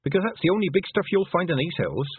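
A man voicing an earnest, sharp-witted young girl witch, in a raised, eager voice. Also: sits high, sing-song, fast talker, breathy edge, pitched above the performer's own voice, heavily acted. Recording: tinny, phone-like lo-fi audio.

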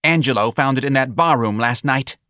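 A man's voice: on the high side, a little fast, a bit bright, dry recording, clean studio sound, pitched above the performer's own voice, lightly acted. A man voicing a weepy, exasperated working-class Southern mother in an audiobook, scolding plaintively in ungrammatical speech. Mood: aggrieved. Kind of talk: audiobook character dialogue.

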